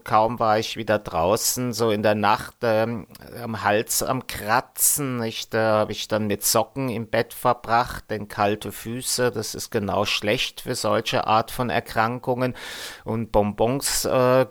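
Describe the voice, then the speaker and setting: A man's voice: fairly low pitch, fairly bright tone. A man hosting a community radio show over a Skype call, musing in a rambling, casual way and tagging his sentences with little questions, slightly under the weather. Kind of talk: casual solo radio chat. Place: home in a city, remote.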